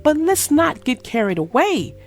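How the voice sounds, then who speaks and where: A woman voicing a cautious, sensible mother bear in a soft, measured way. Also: sits high, sing-song, faint music behind, pitched above the performer's own voice. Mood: cautioning.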